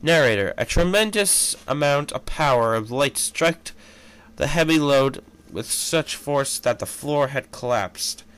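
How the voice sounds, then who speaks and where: A man reading fan fiction aloud as an amateur, halting and correcting himself, with casual commentary. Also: mid-range voice, expressive, fairly bright tone, clear, resonant tone, poor audio. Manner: halting, stumbles over words and corrects himself.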